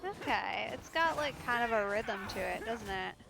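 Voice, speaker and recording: A woman's voice: a little high, expressive, normal speed, vocal fry, music in the background. A woman giving casual let's play commentary as a game streamer.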